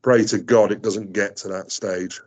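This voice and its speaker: A man with a very low voice, whose first language is Greek, answers interview questions. He talks haltingly, full of fillers and restarts. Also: fairly even intonation. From South Africa. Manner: hesitant, many fillers and restarts. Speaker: guest. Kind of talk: casual interview talk.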